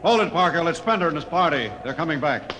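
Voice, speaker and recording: An actor playing a commanding, level-headed expedition captain, authoritative and measured, in a radio drama. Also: male voice, a little high, lively intonation, pushed and bright, in a large hall, a little hiss or hum, rough recording. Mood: urgent, startled.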